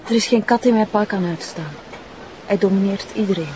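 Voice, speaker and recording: A woman's voice: mid-range voice, brisk, noisy background, close to an everyday voice. A woman playing a bitter, defiant young runaway addict in a TV drama, with a Flemish accent and a coarse, contemptuous way of talking. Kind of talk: naturalistic TV drama acting.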